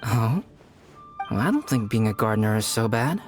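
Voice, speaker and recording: Calm, measured young scholar. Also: male voice, lowish voice, loud music behind the voice. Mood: calm, musing. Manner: measured, articulate, composed. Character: calm, polite young male scholar, gently teasing. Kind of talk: video game cutscene voice acting.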